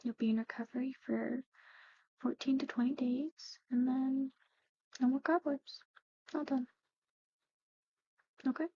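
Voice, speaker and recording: A woman doing a whispered ASMR medical roleplay, explaining things gently and hesitantly as a matter-of-fact clinic practitioner. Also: high-pitched, flat delivery, measured pace, long pauses, lo-fi sound. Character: soft-spoken, matter-of-fact woman at an eerie old clinic. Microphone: close-up ASMR microphone.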